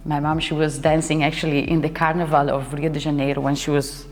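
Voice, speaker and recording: Low-voiced woman in a reverberant hall. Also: flat delivery, brisk, a little hiss or hum. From Italy. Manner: searching for words, restarts sentences, laughs often. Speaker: guest dancer and choreographer. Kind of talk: casual interview talk. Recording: hall reverb with faint music behind.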